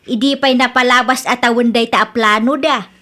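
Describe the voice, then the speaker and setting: A woman acting as a middle-aged Ilocano storekeeper mother in a radio drama. Her voice is very high and sharp, and she talks in an expressive, nagging way. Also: moderate pace. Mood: brisk. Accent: Ilocano Filipino. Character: bossy, chatty middle-aged mother running a small store.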